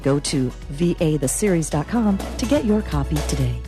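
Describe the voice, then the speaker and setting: A woman's voice: deep voice, animated, moderate pace. A woman announcer reading a scripted radio advertisement. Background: faint music.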